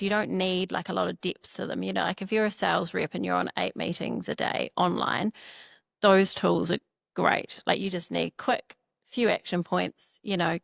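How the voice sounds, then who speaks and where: A woman's voice: lowish voice, vocal fry, dry recording, clean studio sound. A woman from New Zealand with a New Zealand accent, co-founder of a startup, chatting in a casual interview, full of "you know" and "like".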